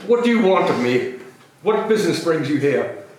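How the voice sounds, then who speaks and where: A man's voice: a little high, very animated, moderate pace, crowd noise. A man playing a wary, grumbling old miser in an amateur dramatic reading. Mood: grumbling, incredulous. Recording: very echoey room, distant mic, faint noise.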